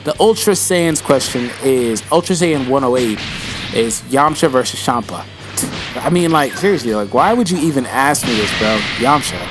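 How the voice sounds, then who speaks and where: A young man answering viewer questions in a casual vlog, rambling and slangy and calling viewers bro, with his voice starting to go. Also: a little high. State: losing his voice.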